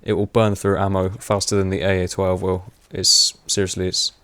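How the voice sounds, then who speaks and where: A young man with a fairly low voice talks over his gameplay in a loose, rambling way. He pauses on fillers and laughs often, and his voice is wearing hoarse. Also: monotone, a bit bright. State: voice going hoarse. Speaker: gaming commentator. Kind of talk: casual gameplay commentary.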